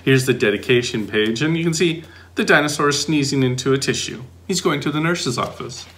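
A man's voice: medium pitch, fairly quick, fairly bright tone, a little hiss or hum. A middle-aged man reading a children's picture book aloud expressively, with sing-song questions and playful asides. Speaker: volunteer storybook reader.

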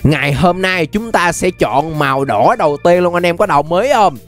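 High-pitched young man hosting gameplay, music behind. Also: lively intonation, normal speed. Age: young adult. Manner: playful, chatty, addresses viewers directly. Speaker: gaming video host. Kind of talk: animated gameplay commentary to fans. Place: indoor gaming setup.

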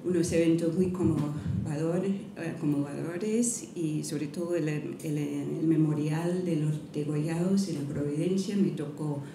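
A middle-aged woman, not a native speaker, reads an academic lecture from a podium, pausing and restarting often at an unhurried pace. Her voice sits low and mellow. The sound rings through a roomy hall, picked up from some distance with a faint murmur behind. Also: steady tone, slight background noise. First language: not Spanish. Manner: careful, halting, with frequent restarts and fillers. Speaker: guest lecturer. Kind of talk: academic lecture, partly read aloud. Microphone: podium microphone. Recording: echoey hall, mic from a distance.

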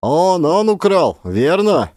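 A man voicing an excitable, spiteful peasant neighbour who shouts gleefully, in an audio play. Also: slow pace, no room sound, studio quality. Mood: gleeful, accusing. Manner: shouting, high-strung.

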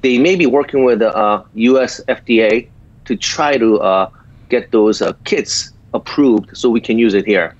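A man in his fifties from South Korea with a small Korean accent, speaking in halting sentences full of filler pauses as a guest doctor in a remote interview. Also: mid-range voice, dry recording. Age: 50s. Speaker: guest physician. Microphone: video call. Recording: dull lo-fi video call audio.

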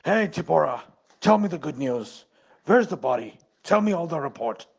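A man playing a ruthless, domineering crime boss with a hoarse, croaky voice in an amateur audio drama. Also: on the high side, lively intonation, soft background music, full character voice. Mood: cold menace. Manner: domineering, slow, menacing. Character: ruthless crime boss who calls himself God.

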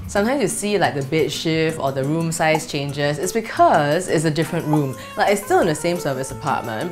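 A young woman from Singapore with a Singaporean English accent, chatting in a bubbly, giggly way as the host of a beauty show, filmed in a small apartment set. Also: mid-range voice. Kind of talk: casual vlog chat. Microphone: off-camera mic.

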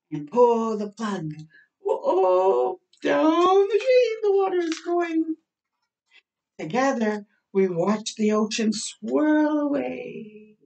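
A woman's voice: on the high side, gravelly, a little mellow. A middle-aged woman hosting children's storytime, reading a picture book aloud expressively with asides, drawing out words in a home bathroom. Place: small home bathroom, indoors. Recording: slightly distant microphone in a room.

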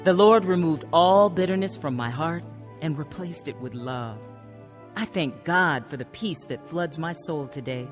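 A woman's voice: sits low, a little slow, soft background music. An actress playing a devout, grateful born-again woman telling her life story in a heartfelt radio drama. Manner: earnest, heartfelt. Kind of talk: radio drama narration and dialogue.

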